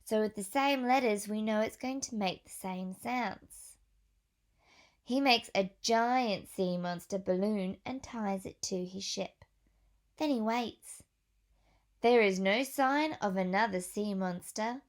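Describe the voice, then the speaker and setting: A woman teacher reading a picture book aloud to young children, pausing for questions and gentle whispered asides. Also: medium pitch.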